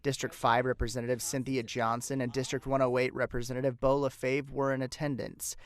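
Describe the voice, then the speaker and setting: A man's voice: mid-range voice, little pitch movement, brisk, a crowd in the background. A man with an American accent reading scripted news narration as a television reporter.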